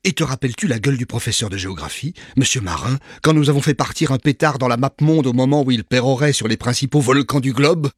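A man voicing a boisterous, vulgar, newly rich middle-aged man who talks loud and hearty in a storybook reading. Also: mid-range voice, quick pace, hardly any pauses, slightly rough, no room sound, clean studio sound, voice pushed up, natural voice.

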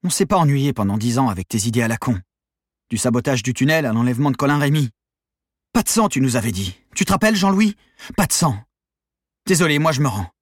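A man's voice: medium pitch, animated, quick pace, dry recording, clean studio sound, pitched above the performer's own voice, lightly acted. Man playing a reproachful, resigned criminal accomplice. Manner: pleading, argumentative. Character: weary male accomplice, resigned, reproachful, ready to surrender.

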